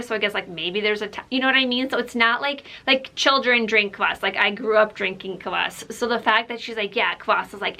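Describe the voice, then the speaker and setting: A woman's voice: on the high side, pushed and bright. A woman from Ukraine whose first language is Russian, chatting casually to camera as a book vlogger, rambling with lots of "like" and "um".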